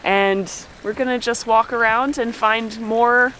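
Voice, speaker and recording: A woman's voice: on the high side, steady tone, slow, a bit bright, poor audio. A woman in her thirties telling stories as a travel vlogger, filmed outdoors on a handheld camera at arm's length. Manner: chatty, playful storyteller. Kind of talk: casual travel vlog narration. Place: outdoors at steaming volcanic hot springs. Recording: outdoor wind and steam noise. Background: hissing steam vents.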